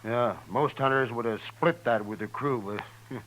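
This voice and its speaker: An American actor playing a plain-spoken, resentful buffalo skinner in a 1950s radio western, talking in a rustic, grudging way. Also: male voice, mid-range voice, moderate pace, a bit bright, lightly acted, rough recording. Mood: bitter, complaining. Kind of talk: 1950s radio drama acting.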